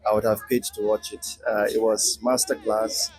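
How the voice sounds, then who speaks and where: A man giving an impromptu testimonial, hesitant and halting, often repeating words. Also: on the low side, flat delivery, rough recording. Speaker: audience member giving feedback. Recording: music behind the voice. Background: music.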